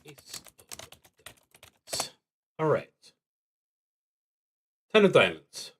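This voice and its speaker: A man thinking aloud through a solo tabletop roleplaying game, reading prompts and musing hesitantly with long, thoughtful pauses. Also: fairly high pitch, huge pitch swings, slight background noise.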